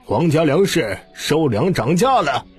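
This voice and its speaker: A man's voice: a little high, big pitch swings, unhurried, breathy edge, pitched above the performer's own voice, heavily acted. A man voicing a burly male palace guard who bellows proclamations in an audiobook. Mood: urgent bellowing. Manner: shouting proclamations at full throat.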